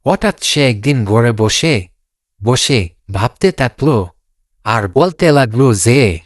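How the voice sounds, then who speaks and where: Slow, lively-pitched male storyteller. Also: no echo, studio quality. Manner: repeats phrases, simple unpolished storytelling. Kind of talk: fairy-tale narration.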